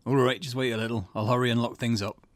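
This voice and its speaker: A man voicing a gruff but caring school janitor in a visual novel game, with a folksy, paternal, grumbling manner. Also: medium pitch, steady tone, quick pace, a little hiss or hum, voice pushed down, natural voice.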